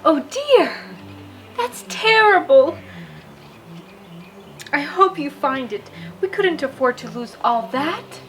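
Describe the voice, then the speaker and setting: Woman playing a high-voiced, caring storybook mother. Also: lively intonation, slow pace, pauses often, fairly bright tone, faint music behind, exaggerated voice. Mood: anxious. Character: concerned, gentle mother in a children's story.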